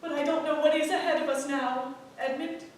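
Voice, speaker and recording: A woman's voice: high-pitched, fairly even intonation, a little fast, natural voice. A woman acting an anxious, strong-willed wife from early modern England in a staged play reading, with pointed, serious delivery. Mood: fearful, upset. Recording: echoey theatre, distant mic, faint noise.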